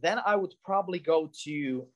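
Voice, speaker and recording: A man's voice: a little high, normal speed. A young man from Slovakia, a comedy creator, chatting as a podcast guest and telling stories with jokes. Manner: joking, animated storyteller. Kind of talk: casual podcast conversation.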